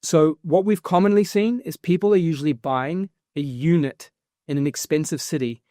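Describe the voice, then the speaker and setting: A man's voice: fairly high pitch, dry recording, studio quality. A man, talking energetically as a guest in a casual interview. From New Zealand. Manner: energetic, fast-flowing explanations with fillers. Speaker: guest, property investment founder.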